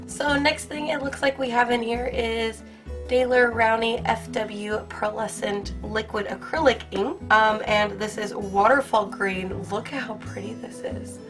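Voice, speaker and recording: Young female vlogger, a bit high-voiced, crackly from a cold, bubbly and chatty over faint music. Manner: bubbly, gushing, filler-heavy. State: hoarse from a cold. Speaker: art channel host. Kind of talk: casual unboxing review.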